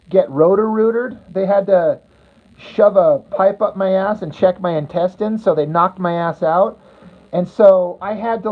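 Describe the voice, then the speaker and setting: A woman livestreaming casually to her viewers, rambling bluntly and crudely as she answers their comments. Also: medium pitch. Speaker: livestreamer chatting with viewers while drawing. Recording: tinny phone-like audio.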